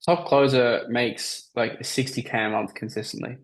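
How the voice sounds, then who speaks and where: Man, raspy voice, average pitch, sales coach, casual emphatic advice, group coaching call. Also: fairly even intonation, normal speed, low-quality recording. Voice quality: raspy from talking all day. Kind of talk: coaching call, answering questions.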